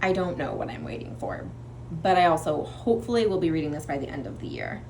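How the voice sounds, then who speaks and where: A woman's voice: low-pitched, sing-song, fast talker, darkish tone, a little hiss or hum. A woman chatting casually as a book vlogger, in an informal, rambling style where she often interrupts herself. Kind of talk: casual chatty vlog to camera.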